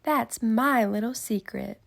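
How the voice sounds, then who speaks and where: A woman puts on a sweet, gentle lady teacher from a storybook. Her voice is lifted high and polite, with some creak underneath. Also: takes it slowly. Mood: sweet, pleased.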